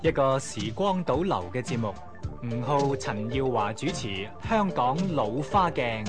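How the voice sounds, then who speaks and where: Hong kong guy doing radio show intro, mid pitch, loud music under him. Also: big pitch swings, measured pace. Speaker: radio announcer. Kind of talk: scripted programme jingle announcement.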